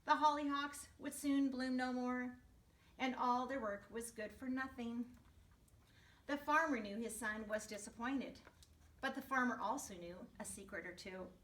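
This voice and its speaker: A woman reads a children's story in a bright, high voice, explaining things to her young listeners as she goes. She is expressive and teacherly. The room echoes and the microphone sits some way off. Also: a little slow, recorded from a distance. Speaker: first grade teacher reading to her class. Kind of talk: storybook read-aloud for young children with asides.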